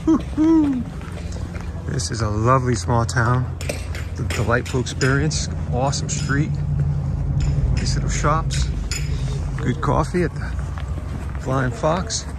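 A man's voice: medium pitch, big pitch swings, takes it slowly, pauses often. A middle-aged man chatting casually and enthusiastically on camera while on a road trip. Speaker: vlog host. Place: car and trailer showroom. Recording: noisy on-location camera audio.

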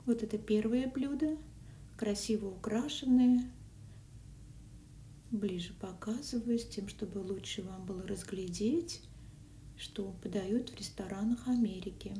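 A woman narrates a casual food and travel vlog, her mellow voice now and then dropping to a whisper. She is caught on a phone a little way off, with a roomy echo and faint background noise. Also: medium pitch, measured pace. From Russian-speaking country. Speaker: vlogger. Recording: echoey, from a distance, faint noise.

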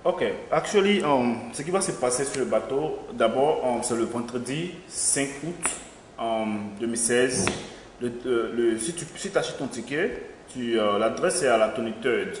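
A man's voice: mid-range voice, animated, measured pace. A young man, an event organizer, answering interview questions hesitantly with many fillers. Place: small studio with logo backdrop. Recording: echoey studio room, faint noise.

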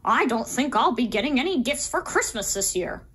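A woman voicing a sweet, excitable young bear cub in a children's puppet show, childlike and earnest. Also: fairly high pitch, normal speed, slightly rough, exaggerated voice. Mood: downcast.

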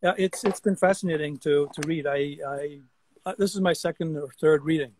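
A man's voice: a little high, steady tone, a little fast, a little mellow, a little hiss or hum, low-quality recording. A man in his sixties from Guyana, a guest artist chatting animatedly on a video call, often repeating his words. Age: 60s. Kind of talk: casual video-call conversation. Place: outdoor deck among trees. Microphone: video call webcam.

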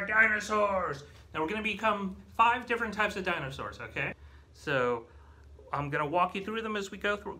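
Bright, forceful American man hosting children's storytime. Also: high-pitched, a little hiss or hum. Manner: exaggerated, animated delivery for young children. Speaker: children's librarian and storytime host. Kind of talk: children's storytime, leading rhymes and movement songs. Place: small indoor meeting room with whiteboard.

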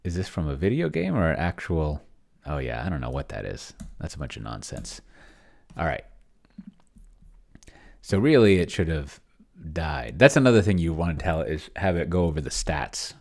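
Very deep, gravelly middle-aged man. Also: very animated. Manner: halting, muttering to himself while working. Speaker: solo tabletop roleplayer testing an AI game master. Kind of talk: casual screen-share commentary, thinking aloud. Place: indoors at a desk in a home room.

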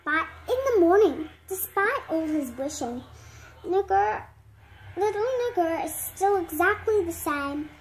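An eight-year-old girl reading a picture storybook aloud at home, haltingly and word by word, with stumbles. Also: extremely high pitch, animated, a little hiss or hum, rough recording. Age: child, 8 years old. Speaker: young reader. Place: indoor room at home.

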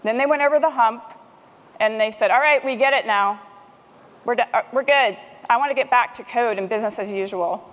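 A middle-aged woman speaks from a conference stage in a fairly high voice. She delivers a prepared presentation, and the big hall adds a long reverb around her words. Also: a little fast. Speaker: conference speaker, technology manager. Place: large conference stage, indoors. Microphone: headset microphone.

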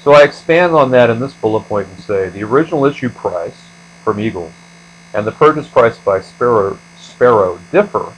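A male instructor with a fairly low voice walks through material in an explanatory screencast style. He goes step by step, as in a lecture. A faint electrical hum sits behind his voice. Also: animated, normal speed, lo-fi sound. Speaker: accounting instructor.

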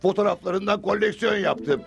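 A man's voice: sits high, animated, moderate pace, creaky, dull audio, heavily acted. A man playing a chatty, starstruck fan of cowboys in a cartoon dub. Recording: music under the voice.

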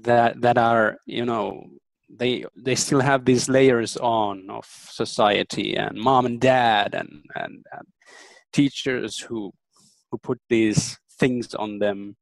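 A man in his thirties, a native German speaker, giving earnest, thoughtful interview answers with frequent pauses and ums. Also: medium pitch, steady tone, vocal fry, dry recording, low-quality recording. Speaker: interview guest.

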